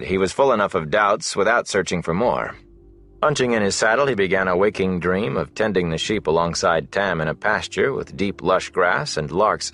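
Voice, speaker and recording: A man narrating a fantasy novel as an audiobook, in a measured, expressive storytelling manner. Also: low-pitched.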